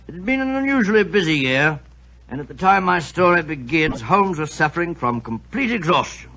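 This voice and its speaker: A genial old doctor. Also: male voice, a little high, big pitch swings, fairly quick, full character voice, low-quality recording. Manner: warm, avuncular storyteller. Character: genial elderly male doctor narrating. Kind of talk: 1940s radio acting.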